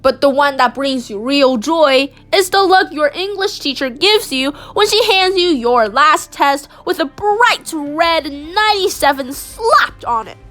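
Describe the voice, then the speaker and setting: A woman with a high-pitched voice reads a children's story aloud. Her delivery is expressive and animated, with dramatic emphasis on key phrases. Faint music plays behind her. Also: measured pace, few pauses. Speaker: storybook reader. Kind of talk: expressive read-aloud of a children's picture book.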